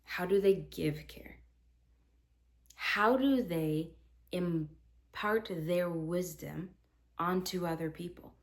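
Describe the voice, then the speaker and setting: A woman's voice: on the low side, takes it slowly, long pauses. A woman teacher in her thirties talking earnestly to other teachers about classroom practice, acting out what she says to students. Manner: earnest, animated, acts out her own quoted lines.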